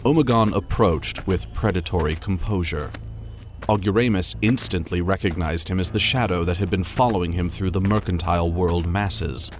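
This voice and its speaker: A man's voice: sits low, normal speed. A man narrating a science-fiction audiobook in a dramatic, scripted storytelling style. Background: music.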